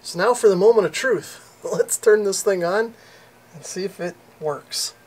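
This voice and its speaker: Higher-pitched American man explaining a repair. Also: a little slow, darkish tone, slight background noise. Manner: casual, explanatory. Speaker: host of a radio repair channel. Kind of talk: instructional narration of an electronics repair.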